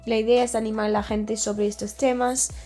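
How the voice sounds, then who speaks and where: A woman's voice: fairly high pitch, flat delivery, a little fast, dark tone, soft background music. A young woman, a native English speaker from Northern Ireland, tells travel stories in Spanish with a Chilean-tinged accent, searching for words as she goes. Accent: Chilean-inflected Spanish learner. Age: young adult. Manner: searches for words, restarts, laughs easily. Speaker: interview guest. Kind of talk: casual storytelling.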